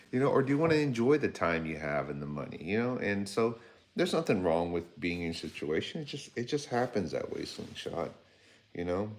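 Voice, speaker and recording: Deep-voiced rambling man in his fifties. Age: 50s. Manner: rambling, conversational, addresses viewers by name. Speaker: livestream host. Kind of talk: casual livestream chat reading viewer comments.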